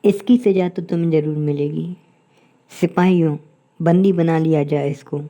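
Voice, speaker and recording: A woman acts a pompous cartoon lion king. He sounds stern and authoritative in a dark, lowish voice. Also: fairly even intonation, moderate pace, close to an everyday voice. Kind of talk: Hindi moral-story cartoon dubbing.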